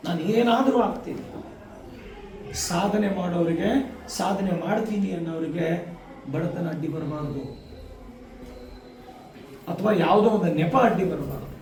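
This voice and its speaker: A man giving a motivational speech to students, emphatic, with rhetorical repetition and deliberate pauses. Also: sits high, a little mellow. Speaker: guest speaker addressing schoolchildren. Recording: echoey, distant microphone, faint noise.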